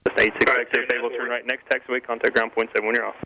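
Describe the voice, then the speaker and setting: A man working as an air traffic controller, giving clipped clearances over an aviation radio. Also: mid-range voice, flat delivery, racing, bright, forceful tone. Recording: noisy crackly aviation radio link.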